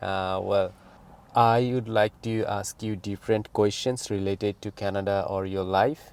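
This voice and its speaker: A man hosting an interview show, racing through his intro and asking questions slowly and carefully in English. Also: fairly low pitch, steady tone, darkish tone, smooth. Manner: fast in his own language, slower and careful in English. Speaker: host.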